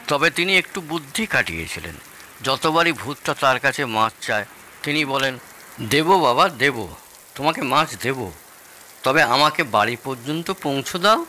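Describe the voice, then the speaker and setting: Man playing bright-voiced nostalgic older father-in-law. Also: mid-range voice, slight background noise. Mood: animated storytelling. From Bangladesh. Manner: expansive, nostalgic storytelling. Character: genial older father-in-law, nostalgic Bengali storyteller, fond of hilsa. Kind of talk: Bengali audio drama acting.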